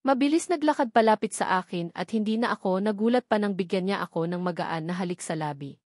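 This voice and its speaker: A woman's voice: medium pitch, fast talker, darkish tone, no room sound, clean studio sound. A woman reading a romance novel aloud as a scripted first-person narration. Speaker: audiobook narrator.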